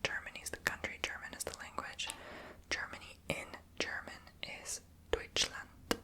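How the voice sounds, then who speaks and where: A woman whispering in ASMR style into a close mic. She repeats each foreign word softly, over and over. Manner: soft whisper, slow repetitions. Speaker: ASMR video host.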